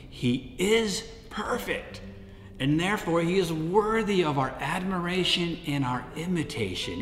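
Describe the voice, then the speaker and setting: A man's voice: a little high, fairly bright tone. A man in his fifties, a pastor giving a short devotional talk to camera. He is earnest and chatty, laughs often and uses many fillers. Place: small indoor room with plants. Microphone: desk camera, head and shoulders framing. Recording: echoey room with long reverb.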